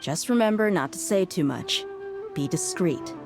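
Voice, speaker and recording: Low-voiced, brash female mercenary. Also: moderate pace, music in the background. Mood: teasing. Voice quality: low, confident. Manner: informal, blunt, expressive. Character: brash, casual adult female mercenary, blunt and teasing. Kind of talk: anime game voice acting.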